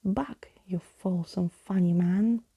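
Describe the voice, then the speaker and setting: A woman voicing a gallant, theatrical male skeleton from a storybook, urgent and commanding in a breathy whisper. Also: lowish voice, fairly even intonation, slow, no echo, muffled recording, full character voice.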